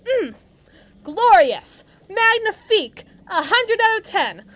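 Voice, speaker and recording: Casting: actress for brash female villain muscle, audio drama. High voice, very animated pitch, sarcastic and mocking, tough slangy delivery. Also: takes it slowly, full character voice. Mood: gleefully menacing. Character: brash, mean female villain henchwoman, muscle for evil agency. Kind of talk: acted monologue roleplay addressed to listener.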